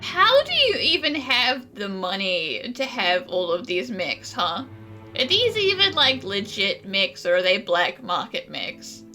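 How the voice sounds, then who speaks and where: A woman's voice: mid-range voice, huge pitch swings, unhurried, pushed and bright, soft background music, heavily acted. An actress playing a cheeky, unimpressed young woman in a video game, with slangy, sarcastic, casual delivery. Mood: incredulous.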